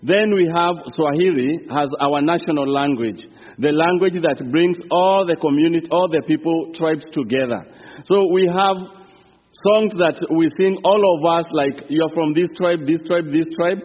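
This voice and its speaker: A man from Kenya with a Kenyan accent, preaching as a visiting pastor in earnest, building fervor. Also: a little high. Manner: earnest preaching, rising fervor, some halting restarts. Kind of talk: sermon. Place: church sanctuary. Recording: reverberant church hall, dull sound.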